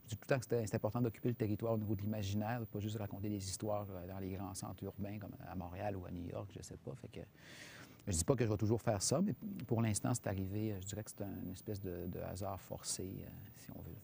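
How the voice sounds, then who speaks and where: Low gravelly Québécois man, halting. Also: fairly even intonation, mellow, slight background noise. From Quebec, Saguenay region. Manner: hesitant, many fillers and restarts. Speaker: film director being interviewed. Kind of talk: casual spoken interview.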